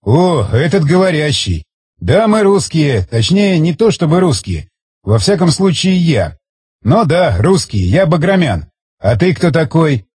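A man's voice: a little high, very animated, measured pace, darkish tone, slightly rough, dry recording, exaggerated voice. A man voicing a wry, blunt, curious army sergeant in an audiobook, with casual, colloquial questioning.

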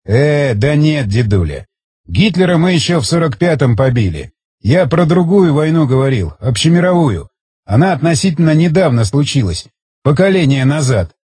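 A man's voice: fairly high pitch, big pitch swings, normal speed, darkish tone, breathy edge, dry recording, full character voice. A man voicing a wry, blunt, curious army sergeant in an audiobook, with casual, colloquial questioning. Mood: matter-of-fact.

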